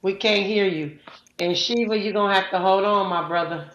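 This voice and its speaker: A woman's voice: medium pitch, moderate pace, fairly bright tone, reverberant, lo-fi sound. A woman hosting a live audio chat room, talking casually with many fillers and introducing guests. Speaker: host.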